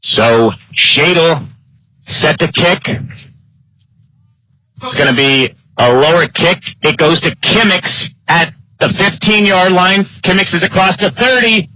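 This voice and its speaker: An American man doing live football play-by-play. His voice is bright and forceful, set a little high, and recorded close and dry. He speaks as a game announcer keeping listeners on top of the action. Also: slow pace, no room sound, rough recording. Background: live high school football game broadcast.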